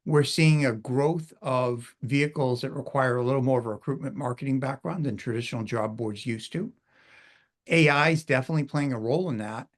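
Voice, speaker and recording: A man's voice: mid-range voice, fairly quick. A middle-aged man hosting a webinar in a casual, chatty way, full of informal asides. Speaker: webinar host.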